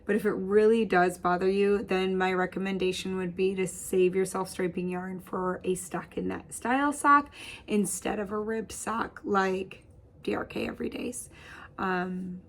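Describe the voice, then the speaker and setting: A woman in her thirties hosting a casual knitting podcast from her home craft studio, chatty and bubbly, with a husky voice. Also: mid-range voice, steady tone, measured pace. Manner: bubbly, chatty, laughs often, gestures while talking. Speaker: host. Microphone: webcam framing at desk.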